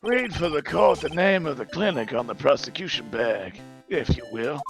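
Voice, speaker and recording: A man puts on a smug courtroom prosecutor from a game, speaking fairly high with a gravelly creak. The phrasing is teasing, theatrical and drawn out, as if every line hides a riddle. Faint music plays behind him. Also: moderate pace. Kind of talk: amateur live fan dub of a game.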